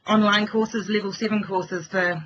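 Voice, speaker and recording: A New man gives an easygoing, friendly introduction on a web call. His voice is high and bright for a man. The call audio is muffled, with faint noise behind it. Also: steady tone, normal speed. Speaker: health lecturer.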